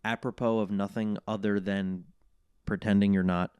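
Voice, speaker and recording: A man, a comedian, riffing in casual podcast banter as a guest, animated and prone to mock voices. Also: fairly low pitch, moderate pace, smooth, dry recording, dull audio.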